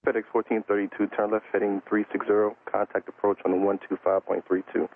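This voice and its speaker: A man's voice: lowish voice, little pitch movement, fast talker, gravelly. A man working as a tower air traffic controller, giving clipped, formulaic instructions in a matter-of-fact way over aviation radio. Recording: narrow tinny radio transmission, faint static.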